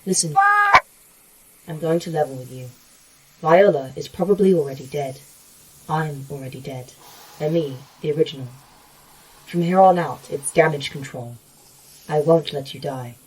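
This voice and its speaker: Resolute sci-fi survivor played by an actor: dark, husky, firm and terse, set back from the mic. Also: mid-range voice, moderate pace, long pauses, recorded from a distance, lightly acted, poor audio. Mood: grim, firm. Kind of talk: sci-fi horror audio drama acting.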